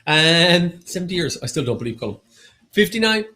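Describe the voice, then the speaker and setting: A man's voice: on the high side, brisk. An Irish man co-hosting a pub quiz, reading out answers and percentages and trading jokes with his partner. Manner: animated, joking, reads answers and percentages. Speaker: quiz host. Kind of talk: livestreamed pub quiz banter.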